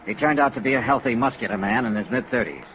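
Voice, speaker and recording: A hard-boiled investigator from a 1950s radio drama, played by a man, rattles along in a bright, forceful voice. He is clipped and businesslike, on an old recording with faint hiss. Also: mid-range voice, fast talker, lightly acted, rough recording. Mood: probing, dry. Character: brisk hard-boiled male insurance investigator. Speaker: lead radio actor and narrator. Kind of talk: 1950s radio detective acting.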